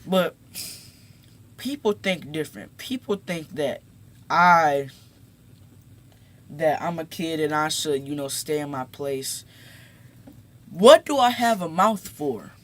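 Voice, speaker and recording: A teenage boy talking casually to his viewers, halting and repeating words, then growing emphatic as he makes his point. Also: a little high, animated, a bit bright. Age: teens. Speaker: YouTuber. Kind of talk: casual talk to camera. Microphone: phone.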